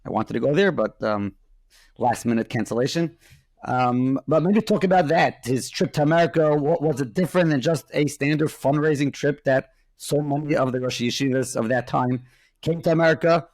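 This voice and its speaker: A man's voice: mid-range voice, moderate pace, darkish tone, dry recording. A male host who interviews a guest and piles several questions into one long turn. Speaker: podcast host.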